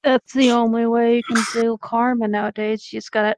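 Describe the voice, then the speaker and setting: A woman's voice: a little high, little pitch movement, brisk, noisy background. A woman chatting casually and reading aloud as co-host of a comedy reading show.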